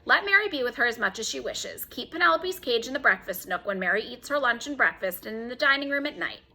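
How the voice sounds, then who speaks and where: A woman reading as a calm, wise older lady. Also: on the high side, fast, exaggerated voice. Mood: reassuring.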